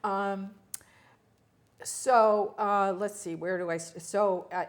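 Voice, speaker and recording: A woman's voice: mid-range voice, fairly even intonation. An older woman, born in the US to Ukrainian-speaking parents, telling stories about her childhood as an interview guest, with frequent fillers, restarts and small laughs. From United States. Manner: halting, many fillers and restarts, laughs at herself. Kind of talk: reflective personal storytelling.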